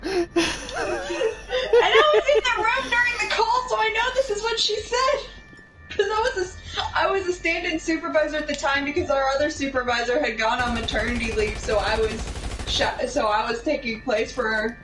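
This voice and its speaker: A woman's voice: high-pitched, moderate pace, soft background music. Woman telling stories, roomy distant recording. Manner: animated, rambling with tangents, acts out quotes. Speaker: storyteller. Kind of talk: casual anecdotal storytelling. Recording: roomy, distant mic, steady background noise.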